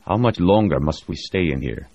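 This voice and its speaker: A man's voice: low voice, expressive, moderate pace, a little mellow, slight background noise. A man doing a sullen, sneering woman.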